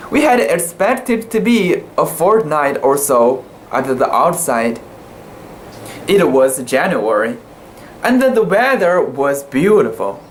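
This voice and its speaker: An amateur narrator giving a halting, theatrical reading of a literary sea story, sometimes stumbling over words. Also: medium pitch, slight background noise. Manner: halting, theatrical delivery with stumbles and self-corrections.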